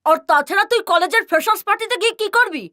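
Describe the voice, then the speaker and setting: A very high, sharp female voice acted by a woman for a haughty, spiteful cartoon relative. She mocks and scolds with open scorn. The sound is close and dry. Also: animated, rapid-fire, dry recording, full character voice. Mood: scolding. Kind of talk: cartoon story dialogue.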